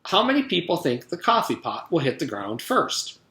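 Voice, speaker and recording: Man voicing an elderly woman teacher, lively, roomy sound. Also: fairly high pitch, moderate pace, pitched above the performer's own voice, exaggerated voice. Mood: kindly, instructive. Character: elderly female teacher, kindly but suddenly stern. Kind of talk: children's storybook read-aloud.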